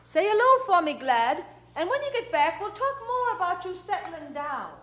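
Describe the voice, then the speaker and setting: Fussy high-voiced London mother, animated. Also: normal speed, music in the background. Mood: brisk, motherly. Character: fussy, practical working-class London mother. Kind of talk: radio drama acting.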